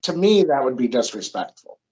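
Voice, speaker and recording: A man's voice: medium pitch, big pitch swings, brisk, warm tone, slightly rough. A young man, a new father, talking casually and reflectively on a podcast, rambling with lots of fillers. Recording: dull lo-fi sound.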